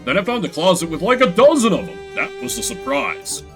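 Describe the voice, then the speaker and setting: Man voicing a chatty, lively rodent. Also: sits high, brisk. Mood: surprised, gossipy. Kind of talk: voiced story video game dialogue. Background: music.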